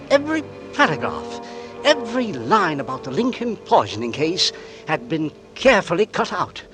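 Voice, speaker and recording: An actor playing a mild, polite homeowner growing quietly suspicious, narrating and talking in measured 1940s radio style. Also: male voice, on the high side. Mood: uneasy suspicion. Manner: courteous, measured, storytelling delivery. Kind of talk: 1940s radio acting, first-person narration and dialogue. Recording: vintage radio drama with musical score.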